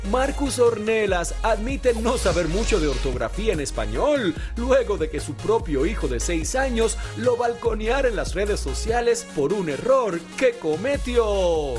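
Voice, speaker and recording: Animated high-voiced man reading showbiz headlines. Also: measured pace, hardly any pauses, music in the background. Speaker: entertainment news presenter. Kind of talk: scripted headline narration.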